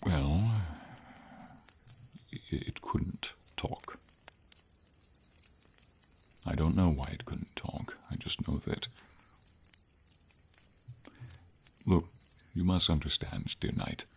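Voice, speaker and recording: A deep-voiced, friendly silver dragon, played by a man, speaks as a gentle companion in a fantasy ASMR roleplay. The delivery is unhurried, thoughtful and a little formal, with pauses. Faint nature ambience of crickets and light rain sits behind the low voice. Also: creaky, a little hiss or hum, exaggerated voice. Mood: hesitant, pensive. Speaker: voice actor. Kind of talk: ASMR fantasy roleplay, slow intimate storytelling.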